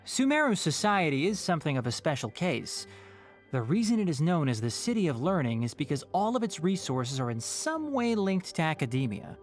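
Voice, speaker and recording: A dry, lively-toned young scholar. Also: male voice, a little high. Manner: measured and dryly sarcastic. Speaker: scholar and guardian. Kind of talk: video game voice acting. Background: game music.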